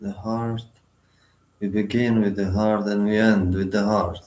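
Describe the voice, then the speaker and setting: A man leading a guided meditation, giving instructions in short, sparse phrases with long pauses between them. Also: lowish voice, level tone, a little mellow, reverberant, poor audio.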